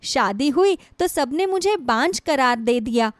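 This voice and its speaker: Woman voicing a sorrowful, high-voiced young wife. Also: expressive, a little slow, no room sound, clean studio sound. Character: sorrowful, long-suffering young wife pouring out her grief. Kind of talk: Hindi moral storybook voice acting.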